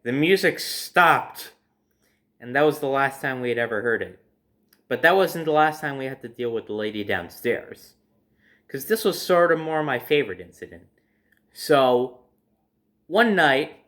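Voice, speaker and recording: A man's voice: mid-range voice, a bit bright. A man telling personal stories casually to his viewers, in a conversational way, acting out his reactions as he goes. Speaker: storyteller hosting own video series.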